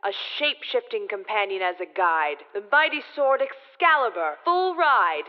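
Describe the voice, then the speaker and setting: An actress playing a stern regal judge, imperious and formal, delivering archaic verse in a radio drama. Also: female voice, mid-range voice, big pitch swings, normal speed, long reverb tail, dull audio, close to an everyday voice. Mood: stern, accusing. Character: stern regal judge queen presiding over trial.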